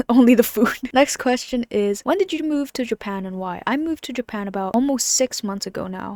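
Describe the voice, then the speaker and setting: A woman's voice: mid-range voice, darkish tone, dry recording. A young woman from the Philippines, English as her first language, chatting casually as she answers viewer questions, laughing often and sometimes whispering. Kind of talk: casual Q&A chat.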